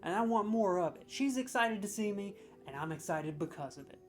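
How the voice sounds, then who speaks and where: A man's voice: sits high, brisk, soft background music. Profane man in a mock-serious rant. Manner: stammering restarts when excited. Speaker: comedic judge of game voice lines. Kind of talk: comedic ranking rant.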